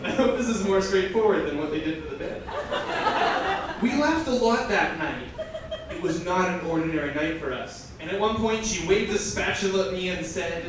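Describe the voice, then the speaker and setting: A man performing a comic, theatrical live reading with mocking, incredulous asides, recorded indoors at an event. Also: high voice. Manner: breaks off into incredulous, sweary asides. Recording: echoey, noisy live room, far from mic. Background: audience crowd noise.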